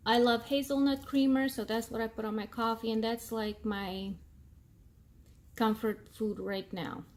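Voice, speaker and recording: A woman in her thirties whose first language is Portuguese, speaking English with an accent in a casual vlog, pausing often with ums as she searches for words. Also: mid-range voice, slight background noise. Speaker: vlogger. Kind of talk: casual talking-to-camera vlog.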